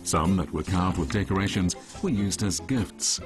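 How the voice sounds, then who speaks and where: A man narrates a documentary in a measured, even voice-over. His voice is very deep and low, and steady music plays clearly beneath him. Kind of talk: scripted narration.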